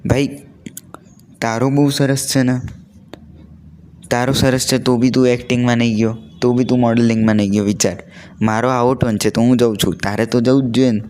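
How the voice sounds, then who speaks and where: A man's voice: medium pitch, flat delivery, fairly quick, pauses often, a little mellow, clear, resonant tone. Young man chatting earnestly. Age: young adult. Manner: conversational, earnest storytelling. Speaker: vlogger. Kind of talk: casual chat to camera, mixing in English words.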